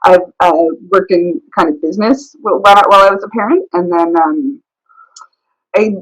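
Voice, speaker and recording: A woman being interviewed as a business owner, chatting casually and pausing often to think. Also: medium pitch, sing-song, creaky, poor audio. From born in Thailand, raised travelling the world. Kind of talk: casual chat.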